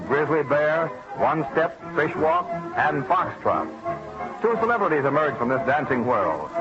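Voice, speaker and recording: An American man narrates in old-time radio style, with a dramatic, declamatory delivery. His fairly high voice swings widely in pitch, on a dull vintage recording with music playing behind him.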